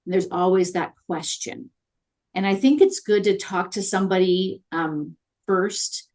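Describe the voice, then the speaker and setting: Chatty upbeat woman, lowish voice. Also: fairly even intonation, a little slow, a little mellow. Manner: chatty and upbeat, many fillers. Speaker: guest consultant on virtual assistants. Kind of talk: conversational interview.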